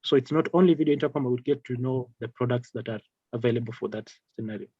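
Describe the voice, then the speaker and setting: A man giving an informal product training over slides in a halting, repetitive, hesitant way. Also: mid-range voice, husky, dry recording, dull audio. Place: online video call with screen-shared slides.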